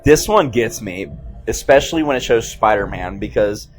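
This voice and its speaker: A man giving excited, casual film commentary in slangy, exclamatory bursts as a host. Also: fairly low pitch, moderate pace. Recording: music playing behind the voice.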